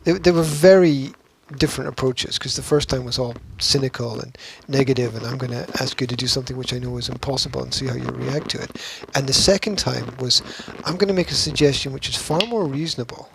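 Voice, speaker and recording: A gravelly, mid-pitched man in casual, argumentative podcast talk. He is insistent, repeats his phrases and swears. There is faint noise behind him. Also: quick pace, hardly any pauses. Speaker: podcast co-host. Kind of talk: casual argumentative banter between co-hosts.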